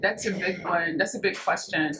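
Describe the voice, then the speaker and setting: A woman who grew up in Georgia answers interview questions, pausing to find the right words. Her voice is slightly low. Clear ambient noise sits behind her. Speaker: interviewee, cyclist. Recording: noisy location recording.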